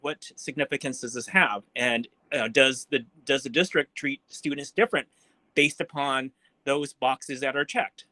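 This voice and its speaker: A man on a video call asking probing questions, halting, with frequent restarts and fillers. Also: medium pitch, fairly even intonation, bright, forceful tone, dry recording, low-quality recording. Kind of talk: questioning at a forum.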